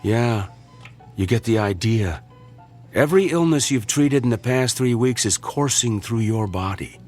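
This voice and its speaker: A principled, wry male doctor played by a man in a radio play. He speaks at a middle pitch with lively swings and a dry, doubting edge, over faint music. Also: a little slow, close to an everyday voice. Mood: grave, explaining. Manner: dry, reproachful. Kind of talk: theatrical radio drama acting.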